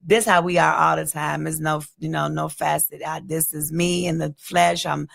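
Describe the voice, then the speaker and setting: Gravelly American woman, casual guest. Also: low-pitched, little pitch movement, moderate pace, dry recording, clean studio sound. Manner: self-described loud talker, informal. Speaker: talk show guest, teacher. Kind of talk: casual chat.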